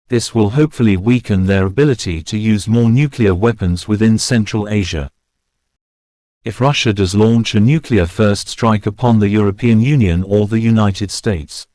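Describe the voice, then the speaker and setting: Casting: male actor as grave political official, low voice, formal speechmaking for a war drama, dry recording. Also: a little mellow, no room sound, close to an everyday voice. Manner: formal, measured oratory. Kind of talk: scripted political address in mock war scenario.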